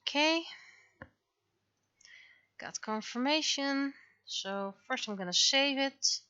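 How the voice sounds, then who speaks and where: Bright, high-voiced woman livestreamer. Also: animated, slow, lo-fi sound. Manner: rambling, thinking aloud, acts out remembered exchanges. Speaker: livestreamer, webcomic artist. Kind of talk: casual livestream chat while drawing.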